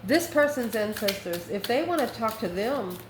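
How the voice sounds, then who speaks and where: A woman's voice: fairly high pitch, expressive, moderate pace, over music. A woman hosting a casual, conversational tarot reading, chatty and informal with rambling asides. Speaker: tarot reader and channel host. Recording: echoey room, clear background noise.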